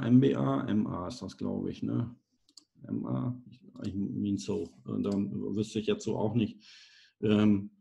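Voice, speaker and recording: A man's voice: lowish voice, vocal fry, mellow. A middle-aged man presenting a casual screen-share tutorial on a video call, chatty and self-deprecating, thinking aloud with fillers. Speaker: online course presenter.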